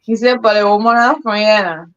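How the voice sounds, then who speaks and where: A Liberian woman hosting a casual live chat, telling stories in a relaxed way with colloquial slang and frequent laughter. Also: a little fast. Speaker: host. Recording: muffled low-fidelity stream audio.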